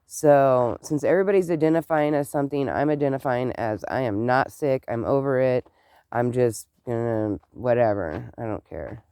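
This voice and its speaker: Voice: medium pitch, normal speed, mellow, clear, resonant tone, no echo, studio quality. A vlogger with a stuffed-up nose and clogged ears, chatting casually and rambling to the camera, outdoors on a rural property. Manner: chatty, meandering, exclaims often.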